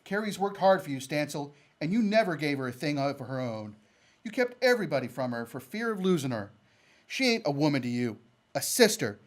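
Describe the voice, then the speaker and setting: Radio Western suitor played by a man: earnest, unbending cowboy, lightish pitch, simple country speech. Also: sing-song, a little fast. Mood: defiant. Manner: plainspoken, rustic Western speech. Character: earnest, stubborn, unarmed cowboy in love. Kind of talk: old-time radio Western acting.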